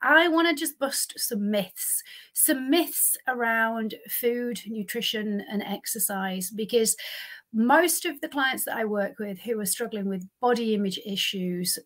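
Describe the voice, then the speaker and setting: A woman's voice: a little high, a little slow, a bit bright. A woman in her fifties hosting a solo talk show, slightly nasal from a cold, talking earnestly and stressing single words. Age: 50s. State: congested from a cold. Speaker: podcast host and lifestyle coach. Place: home office, indoors.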